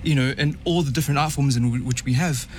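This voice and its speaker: A young man talks easily about his singing career, pausing often and restarting his sentences. His voice sits at a middle pitch, and a faint hum of noise runs underneath it. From New Zealand. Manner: relaxed, halting, many fillers and restarts. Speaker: opera tenor guest. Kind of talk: casual interview chat.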